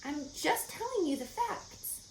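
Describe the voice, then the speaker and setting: A woman's voice: high-pitched, very animated, unhurried, voice pushed up. A woman voicing an imaginative, earnest little farm girl who tells her storybook tales eagerly and insistently. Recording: echoey room, faint background noise.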